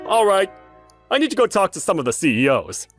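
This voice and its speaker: A man's voice: high-pitched, sing-song, a little fast, a bit bright, faint music behind, full character voice. Man putting on a sneering, hot-tempered rival salesman. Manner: sneering, accusatory. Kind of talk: manga dub dialogue.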